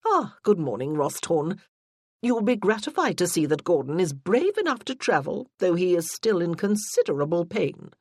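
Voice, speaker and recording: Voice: medium pitch, sing-song, normal speed, slightly rough, dry recording, studio quality. An audiobook narrator voicing an imperious older noblewoman who issues commands. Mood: insistent. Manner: commanding, haughty.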